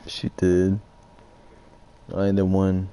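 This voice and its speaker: A man's voice: deep voice, monotone, slow, warm tone, clear, resonant tone. A young man giving rambling, profane, drunk gameplay commentary, with a congested voice. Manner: rambling, profane, loose and slurred. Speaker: gaming streamer.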